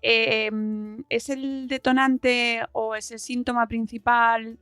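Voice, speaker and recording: Higher-voiced woman interviewer, fairly even tone. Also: takes it slowly, clear, resonant tone, no echo, faint music behind. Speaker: podcast interviewer. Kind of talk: interview questioning.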